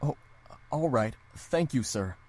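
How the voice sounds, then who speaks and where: A woman's voice: rumbling low, lively intonation, slow, darkish tone, slight background noise, heavily acted. A woman voicing a shy, polite, awkward young man in a visual novel, hesitant and apologetic. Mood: nervous.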